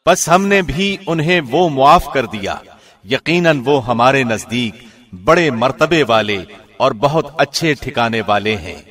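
A man reading a scripture translation from a script in a formal, measured, solemn manner. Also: mid-range voice, lively intonation, fairly bright tone. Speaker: radio host reading a translation.

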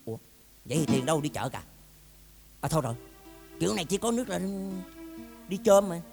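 A man's voice: fairly high pitch, very animated, brisk, vocal fry, darkish tone, long reverb tail, soft background music. A man with a southern Vietnamese accent acting a suspicious, blustering husband in a comic domestic drama, exclamatory and folksy. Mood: suspicious musing. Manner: exclamatory, folksy, muttering to himself then scolding. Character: suspicious, blustering husband bickering with his gambler wife. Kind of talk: comedic stage-style domestic drama acting.

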